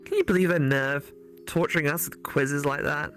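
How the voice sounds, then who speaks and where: A woman voicing a teasing, studious female ghost classmate in a visual novel, speaking deliberately and articulately. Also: deep voice, soft background music, natural voice. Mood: indignant, serious. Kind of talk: visual novel character read aloud for a let's play.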